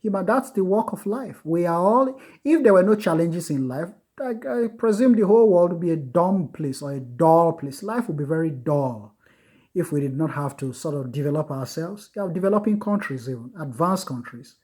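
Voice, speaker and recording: Casting: man, husky voice, earnest lecturer who circles back on his points. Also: on the high side, animated, a little mellow. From United States. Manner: earnest, repetitive, many filler pauses. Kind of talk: informal recorded lecture on Buddhism.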